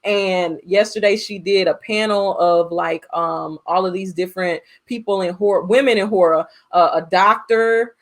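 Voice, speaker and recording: An American woman co-hosting a casual movie podcast, telling stories in an animated, freely swearing way. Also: mid-range voice, normal speed, hardly any pauses. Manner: animated storytelling with frequent profanity. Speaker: podcast co-host.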